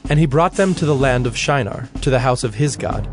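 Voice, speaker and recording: A man narrating a scripted, dramatized scripture reading in a measured, solemn manner. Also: mid-range voice, animated. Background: instrumental music.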